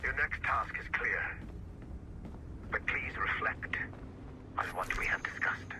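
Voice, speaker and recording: Grave, hushed man, slow. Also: mid-range voice, noisy background. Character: grave, controlled man setting a task. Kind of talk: dramatic video game dialogue.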